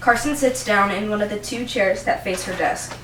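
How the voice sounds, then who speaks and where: Young female narrator, amateur scripted reading, middle pitch, echoey, faint noise. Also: normal speed. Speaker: narrator reading stage directions. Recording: roomy, distant mic, faint noise.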